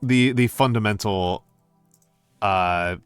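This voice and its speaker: A middle-aged American man chatting casually with stream viewers, pausing often with fillers and restarts. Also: lowish voice, clear, resonant tone, no room sound, soft background music. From United States. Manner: hesitant, many fillers and restarts. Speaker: VTuber streamer.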